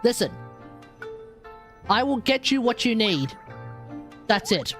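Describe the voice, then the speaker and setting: A woman's voice: a little high, big pitch swings, takes it slowly, a bit bright, soft background music. A woman with an Australian accent doing casual live game commentary to chat, informal and rambling, often repeating herself. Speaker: game livestreamer.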